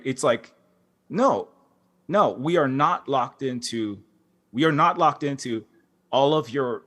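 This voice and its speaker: A man speaking on a conference panel as a game developer, impassioned and halting, with many restarts and fillers. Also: medium pitch, a bit bright. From Hawaii. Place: large concert hall.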